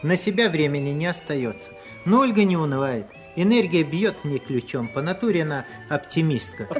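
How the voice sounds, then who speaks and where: A man's voice: on the high side, expressive, fairly quick, soft background music. A man narrating a radio comedy with dry, ironic quips. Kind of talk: wry radio-play narration.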